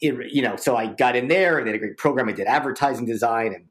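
A man in his forties from New York chats as a podcast guest, his pitch sitting in a plain middle range. He talks eagerly and loosely, circling back with fillers and false starts. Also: quick pace. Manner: eager, rambling, many fillers and restarts. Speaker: interview guest, visual effects artist. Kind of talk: casual interview chat.

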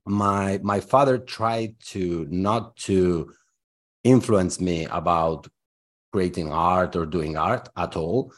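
A man from Spain in his forties, talking in English with a Spanish accent. His voice sits very low, and he answers interview questions in a relaxed, rambling way, leaning on fillers as he thinks. He comes across as candid and unhurried. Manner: thoughtful, loose, with frequent fillers. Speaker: guest artist.

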